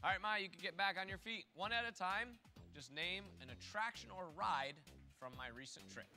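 A man's voice: high voice, lively intonation, normal speed, vocal fry. A man hosting a children's game show in a playful, theatrical, jokey way, moving between storytelling and calling on players. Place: large television studio stage. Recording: music behind the voice.

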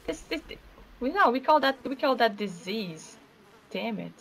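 A woman improvising casual in-character game roleplay, speaking in a halting, expressive way. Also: a little high, poor audio. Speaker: roleplayer playing an accused witch.

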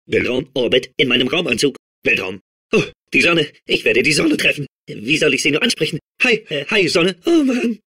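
Manic, husky space-obsessed robot. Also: male voice, on the high side, moderate pace, heavily acted. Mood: manic excitement. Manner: excitable, babbling, repeating words compulsively. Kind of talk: video game character voice clips.

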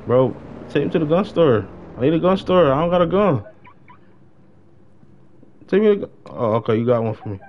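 A man's voice: a little high, big pitch swings, fairly quick, pauses often, dull audio, slight background noise. A young adult man chatting casually on a gaming livestream in slangy, informal speech with plenty of swearing. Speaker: game livestreamer.

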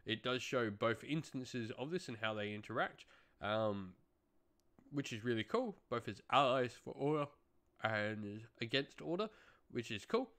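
A man's voice: medium pitch, expressive, a little slow, a bit bright. A young adult man giving a casual, talking-to-camera review as a channel host, recorded indoors in a home room.

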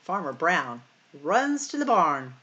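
A middle-aged woman hosting a library storytime, reading picture books aloud in a deliberate, expressive way for young children. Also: on the low side, dull audio. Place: small room at home or office, indoors.

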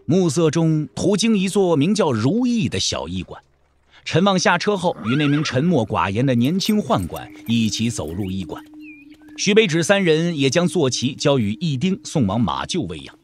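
A man narrating an audio drama in a measured, literary storytelling style. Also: a little high, sing-song, slight background noise.

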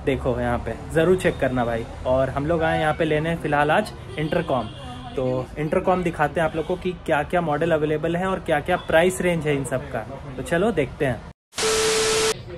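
A man's voice: fairly high pitch, normal speed. A man chatting casually to viewers as a vlog host, explaining things informally and repeating key points. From India. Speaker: vlogger and host. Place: small indoor motorbike accessory shop. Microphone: action camera held by the speaker. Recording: noisy room with clear ambient noise.

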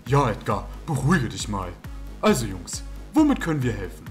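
A mystical, portentous man played by an actor in a parody audio drama. His voice sits at a middle pitch, with faint music behind it. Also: very animated, moderate pace, natural voice. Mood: calm, portentous. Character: calm, mystical male companion prone to visions.

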